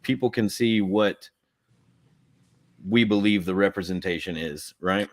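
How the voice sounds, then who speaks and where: A man's voice: fairly low pitch, unhurried. A man, chatting casually and rambling on a livestream about a comic he co-created. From Southern US. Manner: rambling, heavy use of "like".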